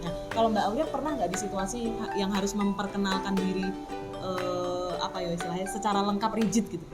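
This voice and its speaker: A woman's voice: medium pitch, steady tone, fairly quick, over loud music. A woman hosting a casual group discussion, asking questions and guiding the talk. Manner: guides the talk, asks questions. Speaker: host.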